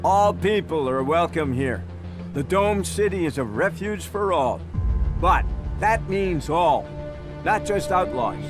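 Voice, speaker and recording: A man's voice: a little high, expressive, slow pace, over music. An actor playing a fussy, anxious male city official in a video game, easily flustered. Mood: exasperated. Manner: flustered, stammering when rattled. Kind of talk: video game dialogue acting.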